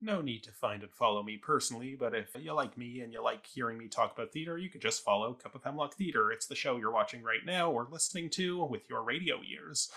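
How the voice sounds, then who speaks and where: Very bright, forceful-voiced man reviewing. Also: medium pitch, quick pace, gravelly, hardly any pauses. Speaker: talk show co-host and theatre reviewer. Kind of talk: casual review discussion.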